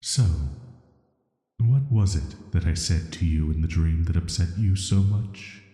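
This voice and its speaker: A man plays a banished villain who visits dreams, very dark and velvety in tone and very low. He speaks softly and slowly, with a flat, measured hesitancy that is flirty yet dangerous. Long reverb trails every word in this roleplay audio drama. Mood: hesitant, guarded. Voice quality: soft, hushed, atmospheric. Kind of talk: scripted roleplay sleep-aid audio drama.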